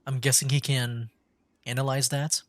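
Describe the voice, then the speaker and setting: A man's voice: mid-range voice, little pitch movement, moderate pace, background noise. A man streaming a video game with casual, tentative reactive commentary, thinking aloud. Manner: musing, tentative.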